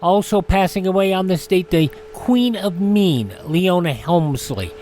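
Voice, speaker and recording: A male radio host reading a history rundown in a measured way with wry asides. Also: high-pitched, lively intonation, darkish tone, slight background noise.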